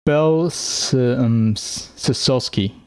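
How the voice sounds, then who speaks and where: A man interviewing a musician in a casual chat, speaking haltingly with many fillers. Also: mid-range voice, darkish tone, smooth.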